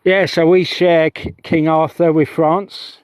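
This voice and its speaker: A man's voice: on the high side, flat delivery, slow pace, darkish tone, smooth, no echo. A middle-aged man livestreaming outdoors on a heath, talking in a rambling, meandering way that keeps restarting itself. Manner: chatty, meandering, self-interrupting. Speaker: UFO investigator vlogger.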